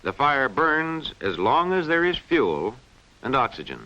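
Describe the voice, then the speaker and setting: A man narrates an instructional film with a bright, forceful voice. His intonation rises and falls in an animated way as he explains each point precisely and didactically. The sound is muffled and band-limited, like a vintage film soundtrack. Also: mid-range voice, measured pace. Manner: clearly enunciated, didactic delivery. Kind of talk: scripted educational film narration.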